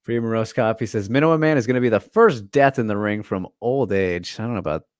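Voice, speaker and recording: Low-voiced easygoing stream host. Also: male voice, fairly quick, smooth, dry recording, studio quality. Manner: easygoing, laughs often, short replies. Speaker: livestream host reading chat. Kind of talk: casual chat on a livestream.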